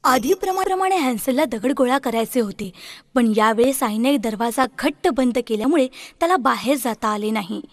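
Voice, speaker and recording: A woman's voice: a little high, animated, moderate pace, no echo. A woman reading a scripted fairy tale for children as the storyteller.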